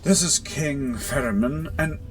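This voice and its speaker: A man's voice: on the high side, a little slow, loud music behind the voice, natural voice. A man playing a haughty, suspicious king who questions shrewdly in a fantasy audio roleplay. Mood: wary, haughty. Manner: clipped, suspicious, regal questioning.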